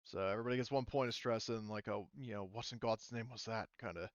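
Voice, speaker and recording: A man running a tabletop roleplaying game as game master, talking casually and haltingly as he thinks aloud. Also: on the low side, fairly even intonation, dry recording, highs cut off. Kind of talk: running a tabletop roleplaying game, improvised narration and rules talk.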